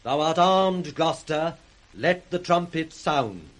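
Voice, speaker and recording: An actor playing a stern, righteous duke with formal, firm declamation in 1960s radio Shakespeare. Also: male voice, on the high side, expressive, takes it slowly. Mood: stern, accusing.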